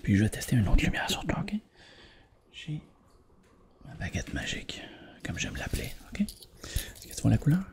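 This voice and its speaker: A man with a Quebec French accent doing a soft, whispered ASMR roleplay as an examiner, gently repeating phrases and checking in with the listener. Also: on the low side, wildly swooping pitch, normal speed, gravelly, long pauses. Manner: gentle, repetitive phrasing with reassuring check-ins. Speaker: ASMR performer playing an eye examiner.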